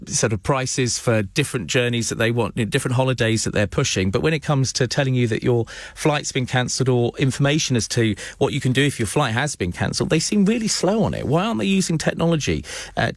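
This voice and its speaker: A man's voice: medium pitch, animated, quick pace, no room sound, studio quality. A British man presenting radio news and interviewing live, with hesitant, wordy questioning.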